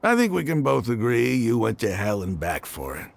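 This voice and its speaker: An actor playing a gruff but easygoing male desert mercenary in a video game, gravelly and plain-spoken. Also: medium pitch, sing-song, normal speed, a little hiss or hum. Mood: assured.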